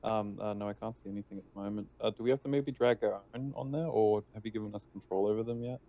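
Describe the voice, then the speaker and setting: A man's voice: fairly low pitch, fast, vocal fry. A man chatting casually as a game player through a dull, lo-fi voice-chat microphone.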